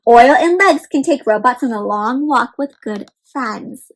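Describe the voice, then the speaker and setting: A woman's voice: on the high side, lively intonation, a little slow. A woman hosting an interactive picture-book read aloud for children, talking directly to them and asking encouraging questions.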